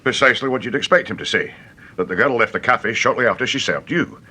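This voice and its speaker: Sharp, gravel-edged British police inspector. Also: male voice, mid-range voice, quick pace. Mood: matter-of-fact. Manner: blunt, forthright. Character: blunt, plain-speaking British police inspector. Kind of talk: radio mystery drama acting.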